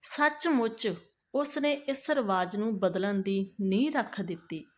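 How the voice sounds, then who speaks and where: A woman narrating a moral family story for her channel in a measured, earnest read-aloud manner. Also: medium pitch, lively intonation.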